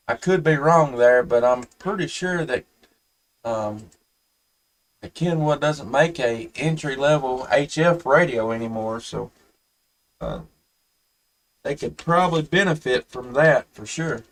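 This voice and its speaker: A man chatting casually and haltingly as an amateur radio operator. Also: mid-range voice, recorded from a distance, rough recording. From United States. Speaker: amateur radio operator hosting a live stream.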